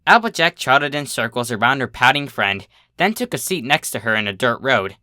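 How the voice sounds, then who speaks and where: A man's voice: mid-range voice, lively intonation, normal speed, bright, forceful tone. A man reading scripted story narration as a fiction narrator.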